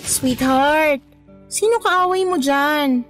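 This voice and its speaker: A young live-in girlfriend in a radio drama who scolds and talks back. She has a high voice. Soft music plays faintly behind her. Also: slow pace. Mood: puzzled. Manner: scolding, exasperated retorts. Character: patient but fed-up young live-in girlfriend.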